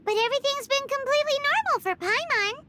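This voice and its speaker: A woman voicing a tiny, cheerful, loyal fairy companion girl with a squeaky childlike voice in an English anime game dub. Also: fast talker, a little hiss or hum, exaggerated voice. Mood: curious, puzzled. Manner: excitable, exclamatory, speaks of self by name.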